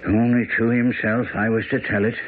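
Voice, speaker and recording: A man's voice: on the low side, flat delivery, fairly quick, natural voice. An actor voicing a stubborn old working-class man in a radio drama, breathless and mumbling in a rustic, grumbling way. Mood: wheezy, stubborn. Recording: tinny, noisy old radio broadcast.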